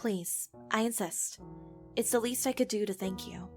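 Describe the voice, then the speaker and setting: A woman's voice: mid-range voice, moderate pace, darkish tone, close to an everyday voice. A woman playing a frank, reflective young professor in a comic dub, with music behind her voice. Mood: gentle, friendly. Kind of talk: dramatic voice acting and reflective narration for a comic dub.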